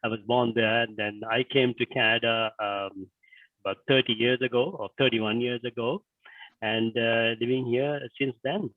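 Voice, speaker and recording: A man from Sri Lanka, speaking earnestly and warmly as an interview guest over a video call. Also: medium pitch, fairly even intonation, normal speed, no room sound. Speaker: mosque president, interview guest. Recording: dry, phone-like line.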